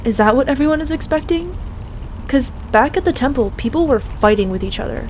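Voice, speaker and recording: A woman's voice: sits high, animated, fairly quick. A woman acting in an audio roleplay as a skeptical young friend who asks blunt, casual questions. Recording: faint water and boat noise.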